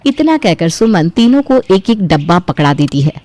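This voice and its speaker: A woman's voice: fairly low pitch, very animated, moderate pace, dark tone, no room sound. A woman giving scripted narration for a family story.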